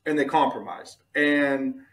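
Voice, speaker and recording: Male podcast host, mid-pitched, casual and slangy, earnest motivational delivery with emphatic repetition. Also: unhurried, fairly bright tone. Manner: earnest, emphatic, repeats points, slangy with "bro" and "dude".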